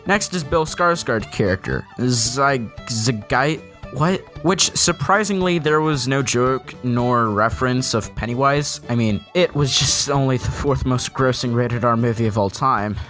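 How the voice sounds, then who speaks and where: A man's voice: mid-range voice, expressive, unhurried, a bit bright. A man narrating an opinionated comedic review in a sarcastic, ranting, sweary manner. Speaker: video reviewer and host. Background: background music.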